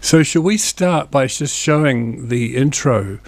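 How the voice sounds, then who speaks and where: Community TV host, a New Zealand man who reads listings in a plain, friendly way; mid-pitched voice, dry close studio sound. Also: lively intonation, slow pace, dry recording. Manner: plain, friendly listing of dates and phone numbers with small asides. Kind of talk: reading local event listings, casual chat.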